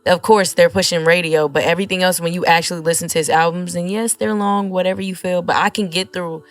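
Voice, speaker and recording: Deep-voiced woman singer chatting casually. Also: fast talker. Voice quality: naturally deep voice with a light rasp. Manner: relaxed, chatty, many fillers. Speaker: singer, guest. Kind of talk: casual interview chat.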